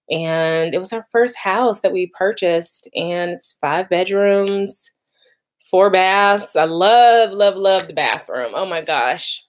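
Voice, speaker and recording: Woman in her 30s, live stream chat, kinda low voice, says like and y'all a lot. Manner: chatty, lots of "like" and "y'all", laughs easily. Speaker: vlogger doing a live stream. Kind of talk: casual live stream chat, reading comments.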